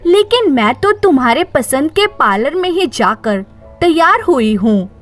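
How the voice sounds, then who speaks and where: A woman's voice: high-pitched, big pitch swings, measured pace, faint music behind. A woman voicing a wronged young wife in a story, bold and defiant, with cutting, emphatic delivery. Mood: pained, pleading.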